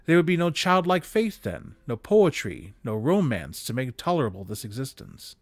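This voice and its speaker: A man reading classic prose aloud expressively, with measured, dramatic emphasis, close to a desk microphone. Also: medium pitch, big pitch swings, no echo. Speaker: reader of holiday stories aloud. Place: small indoor room with bookshelves. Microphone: desk condenser microphone, close. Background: music.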